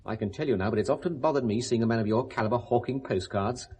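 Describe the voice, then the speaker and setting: A middle-aged man with a British accent acting an urbane, persuasive businessman in a 1970s radio drama, speaking briskly and frankly. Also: mid-range voice, darkish tone, breathy edge, lightly acted. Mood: persuasive, pressing. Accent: British English.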